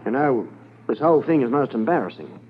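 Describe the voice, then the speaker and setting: An actor playing an evasive gold smuggler in a 1950s radio drama, polite, formal and full of self-justification. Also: male voice, medium pitch, big pitch swings, a little fast, a little hiss or hum, close to an everyday voice. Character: smooth-talking, evasive male gold smuggler under questioning. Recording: tinny 1950s radio transcription.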